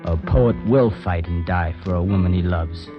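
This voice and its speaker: An actor playing a weary poet guitarist, narrating slowly and somberly in a 1950s radio drama. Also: male voice, deep voice, close to an everyday voice. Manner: slow, somber, reflective. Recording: dull old radio broadcast with music.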